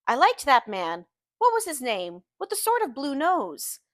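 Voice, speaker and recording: Woman reading as Daisy, flirtatious high-voiced socialite. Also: wildly swooping pitch, measured pace, a bit bright, no echo, studio quality, exaggerated voice. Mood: admiring.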